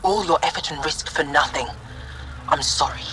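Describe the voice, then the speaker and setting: A woman playing a weary female alien researcher in a video game, formal, measured and resigned. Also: sits low, pushed and bright, poor audio. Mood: resigned, somber. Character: weary female alien researcher mourning her lost home and work. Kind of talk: video game dialogue acting.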